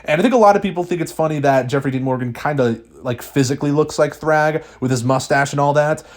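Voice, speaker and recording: A young man hosting a casual video, talking off the cuff with fillers and restarts, his pitch jumping up when he starts a new point. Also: fast. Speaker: YouTube host. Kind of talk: casual speculation vlog.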